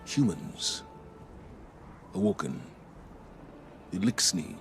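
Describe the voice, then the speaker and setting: A very low, slow male voice playing a grave sci-fi war commander. He is weary and reflective, speaking a solemn game monologue with music behind him. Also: big pitch swings, full character voice. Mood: somber, reflective. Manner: measured, solemn.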